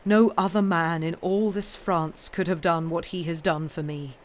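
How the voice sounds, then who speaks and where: A woman's voice: lowish voice, fairly even intonation, a little slow, close to an everyday voice. Woman reading as an earnest, ardent young husband, noisy recording. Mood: tender, proud. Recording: clearly noisy live stream recording.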